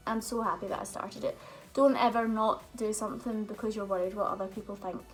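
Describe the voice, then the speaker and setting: A woman's voice: medium pitch, fairly even intonation, a little fast, low-quality recording. Chatty young woman, faint music. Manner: chatty, open storytelling with fillers. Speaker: vlogger. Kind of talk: casual Q&A vlog chat.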